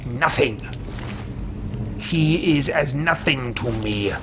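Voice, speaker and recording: Man playing a pompous, slow-spoken fantasy mage. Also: medium pitch, heavy background noise, close to an everyday voice. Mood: bored, imperious. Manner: pompous, disdainful, theatrical, formal wording. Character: haughty, greedy male mage adventurer, easily bored and scornful. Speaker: let's-play host roleplaying a game character. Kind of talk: theatrical in-character game commentary.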